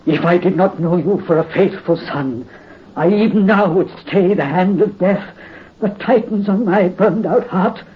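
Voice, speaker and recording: Dying count, commanding, bitter, slightly high voice, 1930s radio play. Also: male voice, measured pace, breathy edge. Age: 50s. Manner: weak but commanding, imperious. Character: dying old French count, bitter and vengeful. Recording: dull, faintly hissy 1930s radio recording.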